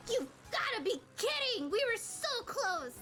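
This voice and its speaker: Woman voicing a brash, high-pitched teenage game heroine. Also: sing-song, unhurried, fairly bright tone, soft background music, exaggerated voice. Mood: alarmed. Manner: exclamatory, brash. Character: spirited, indignant teenage heroine of a fantasy game. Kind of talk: amateur game dubbing.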